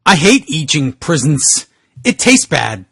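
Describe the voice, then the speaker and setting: A man voicing a gloomy, Christmas-hating Grinch with husky, drawn-out melodrama in a comic story reading. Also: fairly high pitch, very animated, a bit bright, no echo, full character voice.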